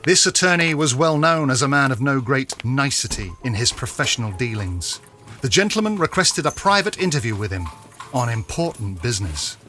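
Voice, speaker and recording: Bright-voiced man narrating a story. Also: mid-range voice, very animated, moderate pace, soft background music. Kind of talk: scripted dramatic story narration.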